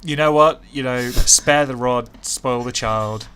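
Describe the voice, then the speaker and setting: A man's voice: mid-range voice, a little slow, fairly bright tone, clean tone. A man co-hosting a casual comedic podcast, making dry, wry quips and laughing often. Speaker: podcast co-host.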